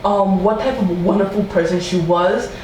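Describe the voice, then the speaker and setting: A woman hosting a podcast, telling a true-crime story in a casual, animated way and quoting people in a raised voice. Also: mid-range voice, normal speed. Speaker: podcast host. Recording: echoey room from far off with faint noise.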